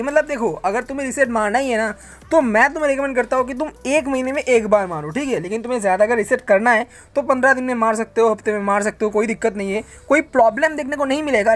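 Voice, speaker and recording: A man's voice: extremely high pitch, fairly bright tone. A man answers viewer questions in a casual, informal vlog style, addressing listeners like friends.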